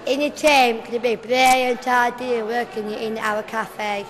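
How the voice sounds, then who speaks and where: High-voiced woman in an echoey hall. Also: fairly even intonation, a little slow. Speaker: cafe trainee. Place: large cafe hall. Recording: echoey hall with chatter behind.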